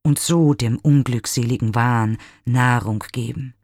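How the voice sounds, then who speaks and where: Despairing lovesick youth read by a man: husky, creaky, dry close sound, unhurried pleading. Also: medium pitch, a little mellow, no room sound, full character voice. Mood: anguished. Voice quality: breathy, whispering at times. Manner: slow, intimate, mournful pleading. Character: young man, lovesick for his own reflection, despairing.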